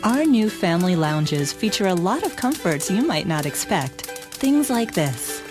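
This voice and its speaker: A woman's voice: fairly low pitch, big pitch swings, measured pace. Woman narrating radio ad over music. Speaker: commercial voice-over announcer. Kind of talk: scripted radio advertisement narration. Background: music bed.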